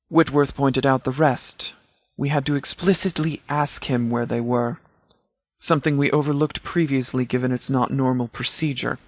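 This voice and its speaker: A man voicing a composed video game law officer who speaks formally and precisely, with a dry, deadpan manner. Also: mid-range voice, dry recording, close to an everyday voice. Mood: measured, dry. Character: composed, formal male sheriff's department commander, strategic and dry. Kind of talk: video game dialogue voice lines.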